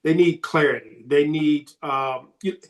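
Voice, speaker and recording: A man answering as a sports recruiting coach on a podcast, emphatic and repeating his key points, his voice slightly hoarse. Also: on the high side, fairly even intonation, a little slow.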